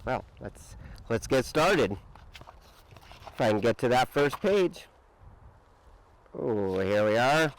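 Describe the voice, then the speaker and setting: Guy reading a kids storybook out loud, takes his time, really stretches and punches the words. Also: medium pitch, rough recording. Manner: expressive, dramatic emphasis with drawn-out words. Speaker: storybook reader. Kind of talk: children's picture book read-aloud.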